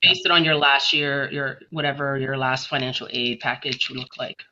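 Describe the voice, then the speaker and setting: Bright-voiced man on dull call audio. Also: mid-range voice, level tone, moderate pace. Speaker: scholarship panelist. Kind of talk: conversational clarifying questions and answers. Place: virtual meeting on a video call.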